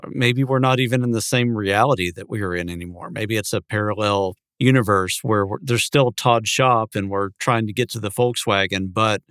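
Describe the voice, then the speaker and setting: A man's voice: lowish voice, normal speed, hardly any pauses, a bit bright, clear, resonant tone, dry recording. Male podcast co-host, dry close recording. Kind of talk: casual speculative conversation.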